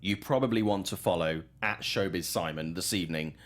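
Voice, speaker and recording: A man's voice: deep voice, brisk. A man hosting a film news show in a casual, chatty and enthusiastic way.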